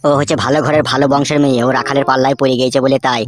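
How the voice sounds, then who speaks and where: A man's voice: medium pitch, flat delivery, very fast, lightly acted. A man playing a crude, scheming village gang boss in a comic cartoon. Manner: crude, swaggering slangy talk. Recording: music under the voice.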